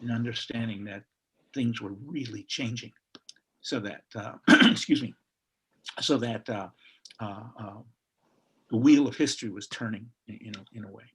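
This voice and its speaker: A man's voice: lowish voice, normal speed, long pauses, fairly bright tone, breathy edge. An older man from Chicago, speaking reflectively on a video call panel, telling stories with frequent restarts. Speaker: panelist author.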